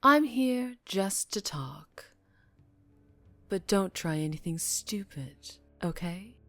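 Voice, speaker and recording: A woman playing a dangerously charming female mafia boss in an ASMR roleplay, teasing and menacing, with deliberate purring delivery that drops toward a whisper. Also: mid-range voice, animated, faint music behind. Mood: cool menace. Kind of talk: ASMR roleplay audio drama, addressing the listener.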